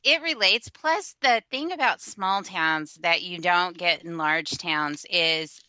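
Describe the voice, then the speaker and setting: Brief: Texan woman, blogger, rambling storyteller, bright voice. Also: fairly low pitch, takes it slowly, no echo. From West Texas. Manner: chatty storyteller, lots of fillers. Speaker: blogger guest. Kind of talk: casual chat.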